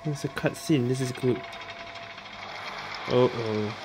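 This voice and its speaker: A woman's voice: very deep voice, slow, background noise. A woman gamer giving casual reaction commentary over gameplay in short, muttered remarks. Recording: voice-over with game music behind.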